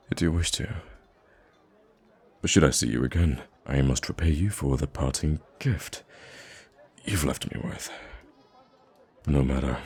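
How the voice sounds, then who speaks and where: A man playing a soft-spoken, wistful and gracious young prince in an ASMR roleplay, speaking gently and haltingly. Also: heavily acted. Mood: pained, longing. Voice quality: very deep, gravelly, husky. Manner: hesitant, gentle, halting with sighs and stammers.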